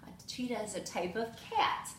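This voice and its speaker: A woman reads a picture book to young children in a high, very lively voice. She is expressive and speaks to them directly. The room is echoey, with faint noise behind her. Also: moderate pace. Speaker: teacher reading aloud to children. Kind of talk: animated children's storybook read-aloud.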